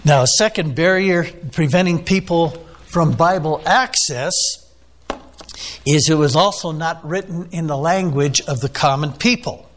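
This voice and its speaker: A man's voice: a little high, measured pace. A man preaching a sermon, teaching off the cuff with many "uh"s and questions to the listeners. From United States. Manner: unscripted teaching with frequent fillers, asks the listeners questions. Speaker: pastor.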